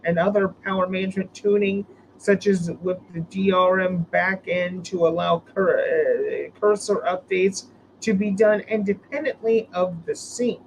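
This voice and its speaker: A man hosting a casual live stream, his voice hoarse from being sick, rambling with restarts and fillers. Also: sits high, fairly even intonation, a little slow, a little hiss or hum, poor audio. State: hoarse from illness.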